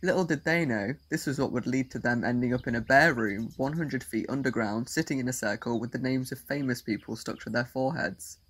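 A man's voice: medium pitch, a little fast, hardly any pauses, a bit bright. A man narrating a scripted audio story as its narrator.

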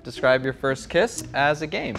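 Young man hosting with deadpan jabs. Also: mid-range voice, gravelly, soft background music. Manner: deadpan joking, quick jabs. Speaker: show host. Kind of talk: teasing game-show hosting.

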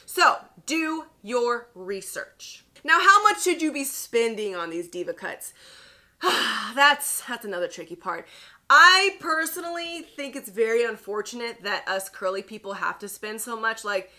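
A woman in her thirties talks straight to camera in a chatty, emphatic vlog. Her voice sits a little high and sounds bright and forceful. She is recorded cleanly in a plain indoor studio. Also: measured pace. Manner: chatty, emphatic, lively asides. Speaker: beauty vlogger. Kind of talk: casual advice vlog to camera.

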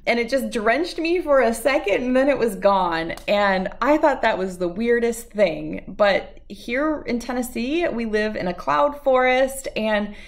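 A middle-aged American woman speaking expressively and clearly as a host of children's storybook read-alouds. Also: a little high, expressive, measured pace, few pauses. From United States, lived in Wisconsin.

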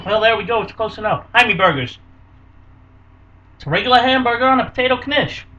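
A man, hosting a casual home cooking video in a small kitchen with energetic, hammy showman patter. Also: very high voice, brisk, distant mic. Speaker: cooking show host. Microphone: camera mic close by. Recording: dull lo-fi webcam audio from a bit off-mic.

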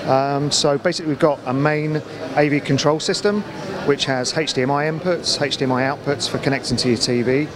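A man's voice: on the high side, fairly even intonation, brisk. Man over busy crowd noise. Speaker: company account manager presenting products. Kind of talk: trade show product interview. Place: large indoor exhibition hall. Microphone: handheld interview microphone. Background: trade show crowd hubbub.

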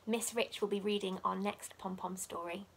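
A young woman reading a children's picture book aloud like a teacher, expressive and measured. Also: medium pitch, creaky, slight background noise. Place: living room by a fireplace.

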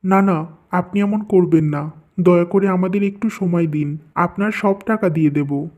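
A woman's voice: fairly low pitch, normal speed, warm tone, muffled recording, lightly acted. A woman playing a concerned, emphatic mother-in-law in a melodramatic family cartoon. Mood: worried, pleading.

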